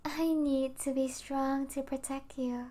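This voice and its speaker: Woman acting as a vampire princess, high voice, very slow pace, possessive, seductive, soft teasing ASMR roleplay. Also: level tone. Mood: coaxing, possessive. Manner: slow, teasing, soft delivery with intimate whispers.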